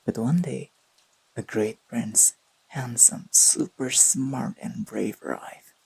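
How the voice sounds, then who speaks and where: A performer voicing a gentle, teasing young boyfriend in an intimate sleep-aid roleplay, speaking softly and tenderly with a breathy, slightly husky voice. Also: mid-range voice, slow, full character voice, poor audio. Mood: slow storytelling. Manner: tender, playful, murmured delivery.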